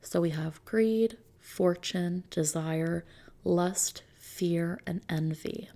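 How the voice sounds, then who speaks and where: A woman doing an ASMR roleplay as a customization consultant, talking softly and unhurriedly to a customer in a gently explanatory, reassuring way. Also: lowish voice, drawn-out words. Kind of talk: ASMR roleplay, soft customer-service talk.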